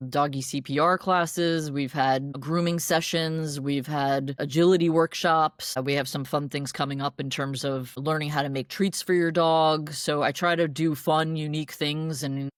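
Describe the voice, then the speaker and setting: A woman guest in a podcast interview, upbeat and expressive, with frequent restarts and laughs. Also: low voice, moderate pace, hardly any pauses, no echo, studio quality. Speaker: guest, dog meetup organizer. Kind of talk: casual interview chat.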